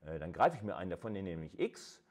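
A man's voice: low-pitched, sing-song, fast talker. A man lecturing informally in a colloquial, conversational way, explaining things step by step and addressing his listeners directly. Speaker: university lecturer.

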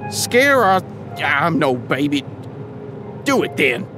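An actor playing a drunk, superstitious man who is easily spooked and defensive, talking in a halting, tipsy way. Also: fairly high pitch, sing-song, music in the background. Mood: nervous, defensive. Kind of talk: audio drama dialogue. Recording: car noise behind the voice.

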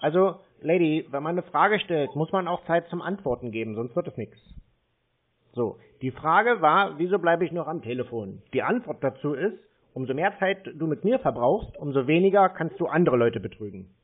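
A man's voice: a little high, lively intonation, normal speed, husky, phone-quality sound. A man in an argumentative phone conversation, talking in a blunt, confrontational, colloquial way as he challenges the caller. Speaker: scam baiter on a call.

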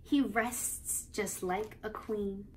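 A young American woman reading a picture book aloud to children, expressively, pausing to explain and ask the young listeners questions. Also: mid-range voice, steady tone, background noise. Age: young adult. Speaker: children's read-aloud host. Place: living room, on a couch.

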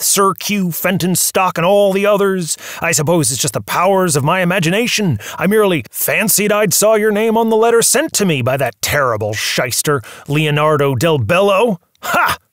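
A man's voice: high-pitched, no echo, studio quality, voice pushed up, natural voice. A man voicing a ranting old movie tycoon. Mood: indignant. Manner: ranting, sneering, self-pitying. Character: aging furious male movie tycoon, paranoid and bitter. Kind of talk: audiobook character voice.

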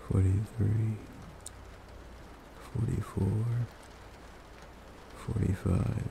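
A man speaking in a hushed, soothing, unhurried ASMR style as a sleep aid. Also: lowish voice, gravelly. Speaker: ASMR roleplay performer. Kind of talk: ASMR, soft slow counting as a sleep aid. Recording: steady rain-like noise.

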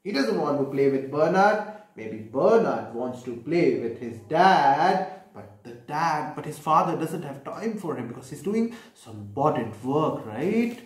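Animated high-voiced man reading to children. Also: a little slow. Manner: animated, questioning, explaining simply. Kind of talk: picture-book read-aloud with questions to children. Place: small bedroom, indoors. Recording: echoey room.

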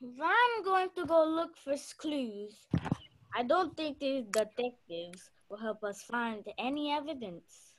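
A woman's voice: high voice, lively intonation, slow pace, slight background noise. A young woman playing an imperious, suspicious murder suspect in a radio mystery play. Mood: scornful.

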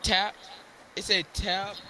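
A woman's voice: mid-range voice, flat delivery, slow pace, crowd noise. A woman in a casual gaming voice chat, flustered and repeating herself, often mumbling. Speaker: player in voice chat.

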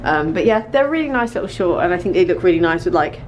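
Young british woman doing a chatty try-on vlog, lowish voice, bit of background noise. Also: expressive, quick pace, poor audio. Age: young adult. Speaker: fashion vlogger. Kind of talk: casual chat to camera while trying on clothes. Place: small clothing store fitting room. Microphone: handheld vlogging camera.

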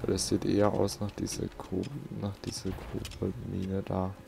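A man's voice: deep voice, completely flat delivery, normal speed, creaky, background noise. A man with a southern German accent giving casual, colloquial gameplay commentary, muttering to himself as he goes. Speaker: let's play host. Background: game music.

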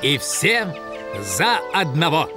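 A man's voice: a little high, very animated, slow pace. A man giving dramatic scripted cartoon narration in a theatrical, suspenseful manner. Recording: loud music under the voice.

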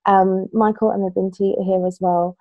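A woman in her thirties, a youth worker hosting a panel discussion on a video call, gentle and full of ums. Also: mid-range voice, level tone, moderate pace, dry recording, phone-quality sound. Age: 30s. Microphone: laptop webcam on a video call.

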